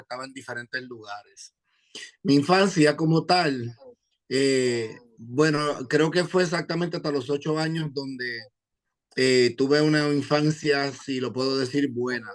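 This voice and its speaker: A man from Puerto Rico with a Puerto Rican accent, an evangelist giving his testimony in an interview, pausing often with "eh" and quoting scripture. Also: fairly high pitch. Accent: Puerto Rican Spanish. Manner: hesitant, many "eh" fillers, quotes scripture. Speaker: evangelist guest.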